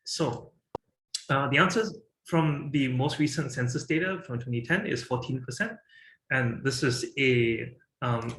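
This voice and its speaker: A man's voice: medium pitch, a bit bright, echoey room, a little hiss or hum, rough recording. A man from Singapore in his thirties, giving a presentation and talking with many fillers and restarts. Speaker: guest speaker.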